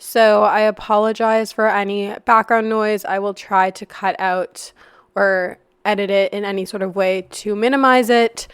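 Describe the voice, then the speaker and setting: Young female podcast host, hoarse and raspy, loose rambling chat, smooth tone under the rasp. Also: mid-range voice, fairly even intonation, unhurried, dry recording. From Canada. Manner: chatty and rambling, lots of "like". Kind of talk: casual solo podcast chat. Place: apartment, not her usual studio.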